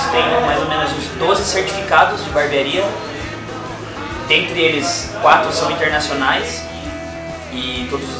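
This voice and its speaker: A man, giving an earnest personal testimonial to camera as a barbershop owner, repeating phrases for emphasis. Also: fairly high pitch. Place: indoors, small barbershop. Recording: roomy, distant mic, clear background music. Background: music.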